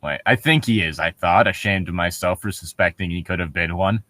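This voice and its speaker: A man's voice: low-pitched, fairly quick, pushed and bright, no room sound. A man reading a story aloud mockingly, with casual, sarcastic joking and some swearing. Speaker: co-host of a comedic story reading.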